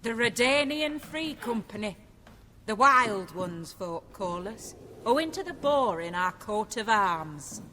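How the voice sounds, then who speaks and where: A woman's voice: on the high side, animated, slow pace, sharp tone, slight background noise, heavily acted. A woman playing a brash, mocking mercenary outlaw in a video game, drawling and jeering. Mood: proud, amused. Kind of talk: video game cutscene acting.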